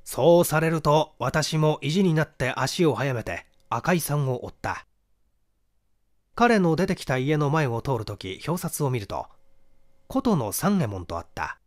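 Male audiobook narrator, lightly high-set voice, very varied intonation, dramatic literary mystery reading. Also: sing-song, measured pace.

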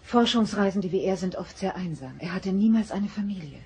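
An actress playing a caring, measured mother in a science fiction radio drama. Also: medium pitch, expressive, warm tone, natural voice. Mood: gentle, firm. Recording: noisy old cassette audio drama.

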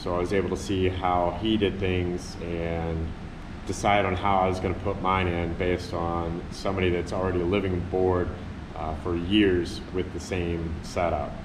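A man's voice: low-pitched, level tone, moderate pace. A man who owns a sailboat, methodically walking through a battery install step by step. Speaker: sailboat owner. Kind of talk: explaining a do-it-yourself install. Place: inside a sailboat cabin. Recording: echoey cabin with loud hum.

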